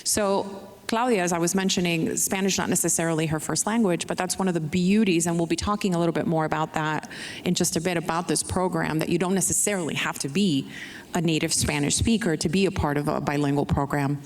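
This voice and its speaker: Lowish-voiced woman moderating in an echoey hall. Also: steady tone, brisk, slight background noise. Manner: explanatory, addressing the audience directly. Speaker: panel moderator. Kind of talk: moderating a talk before an audience. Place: large hall with an audience. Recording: echoey hall with long reverb.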